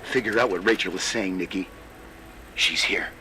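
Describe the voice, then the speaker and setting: A man playing a weary, brooding man in a game cutscene, speaking poetically and deliberately over music. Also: sits low, bright, forceful tone. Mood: weary, resigned. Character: weary, tormented man, grieving philosophically in a farewell monologue. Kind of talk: dramatic game cutscene monologue. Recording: music behind the voice.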